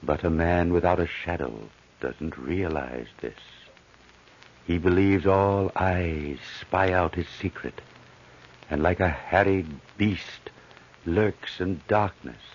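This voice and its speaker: A man acting as a grave, brooding narrator of an old radio horror drama, solemn and deliberate. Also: deep voice, slight background noise. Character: grave, brooding male storyteller of horror tales. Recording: dull old radio recording.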